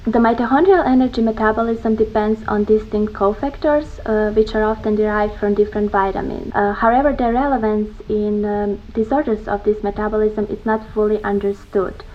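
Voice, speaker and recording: A young woman from Croatia, a PhD researcher giving a measured, scripted-sounding video testimonial. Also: medium pitch, steady tone, slight background noise. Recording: slightly dull lo-fi audio.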